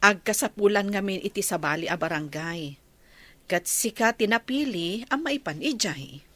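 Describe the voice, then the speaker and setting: A woman acting a brisk, caring nurse with an Ilocano accent in a radio drama. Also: medium pitch, animated, a bit bright, no room sound. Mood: matter-of-fact. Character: brisk, caring nurse on a village medical mission.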